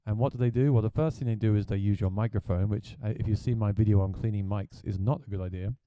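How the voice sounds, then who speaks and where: A man with a deep voice, a gigging musician, chatting plainly through a gear review. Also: fast, no room sound, dull audio.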